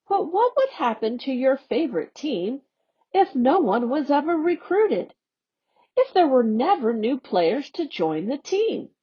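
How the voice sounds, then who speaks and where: Lively slow-reading woman, lo-fi sound. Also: high-pitched. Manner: slow, expressive storybook reading. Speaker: staff educator reading a newsletter aloud. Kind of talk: read-aloud for young learners. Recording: dull lo-fi audio.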